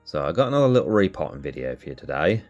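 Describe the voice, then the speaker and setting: A man hosting a cactus channel, sounding nasal from allergies, calmly explaining as he narrates. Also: rumbling low, very fast, muffled recording, faint music behind. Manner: relaxed, explanatory. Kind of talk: casual voice-over narration.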